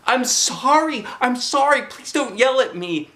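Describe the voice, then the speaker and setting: A man voicing an excitable, dramatic little schoolgirl who whines and pleads in a high squeaky voice. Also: takes it slowly, roomy sound, full character voice. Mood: tearful.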